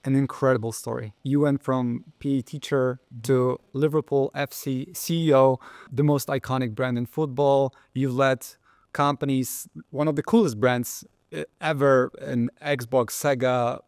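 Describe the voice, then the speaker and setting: Male interview host, halting, dry sound. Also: medium pitch, fairly even intonation, darkish tone, dry recording. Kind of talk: conversational interview.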